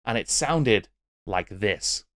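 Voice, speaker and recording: A man's voice: lowish voice, animated, slow pace, very noisy. A middle-aged British man presenting a scripted video essay with wry, theatrical emphasis. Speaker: YouTube host. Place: small book-lined home room. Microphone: close desk microphone with pop filter.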